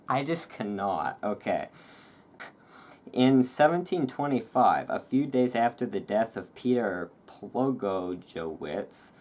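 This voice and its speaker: A host reading aloud in a relaxed ASMR style, with informal, self-deprecating asides. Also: mid-range voice. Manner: informal, self-deprecating over hard words. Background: thunderstorm ambience.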